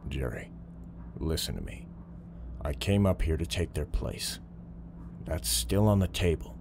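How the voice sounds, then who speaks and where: A man plays an earnest police officer in an audio drama. His low voice stays measured as he reasons and pleads, with clear ambient noise behind him. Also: expressive, exaggerated voice. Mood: earnest pleading. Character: calm, earnest male police officer negotiating.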